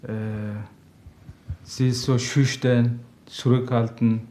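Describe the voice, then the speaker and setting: A man whose native language is Turkish, speaking halting German with many pauses as a father seeking counseling. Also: medium pitch, flat delivery, dark tone. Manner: hesitant, halting German with frequent fillers. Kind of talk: interview answers.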